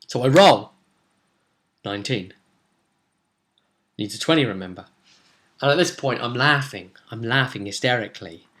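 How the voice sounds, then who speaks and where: Male, 20s, game master vlogger. Bright, forward voice, excitable rambling storyteller. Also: medium pitch. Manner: rambling, excitable, acts out players' lines. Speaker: game master recapping a tabletop role-play session. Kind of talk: casual animated storytelling to camera. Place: small room at home.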